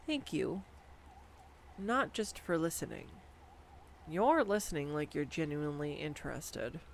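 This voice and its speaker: A woman voicing an excitable, nerdy young girlfriend who rambles enthusiastically with giggles in a scripted audio roleplay, recorded on a condenser microphone. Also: lowish voice, a little hiss or hum. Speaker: voice actor. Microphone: USB condenser microphone.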